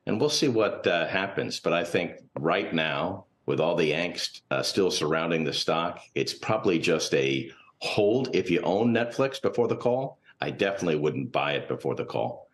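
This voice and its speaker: A man's voice: sits low, expressive, normal speed, hardly any pauses. A man speaking as a guest financial analyst, giving unscripted, cautious and analytical commentary in a TV interview. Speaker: portfolio manager and finance professor, guest analyst.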